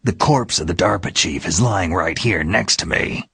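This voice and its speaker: An actor playing a gruff, world-weary special-forces soldier in a video game, his voice raspy and gravelly. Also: deep voice, normal speed, fairly bright tone, no echo, clean studio sound, exaggerated voice. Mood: grim, matter-of-fact. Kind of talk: dramatic video game voice acting.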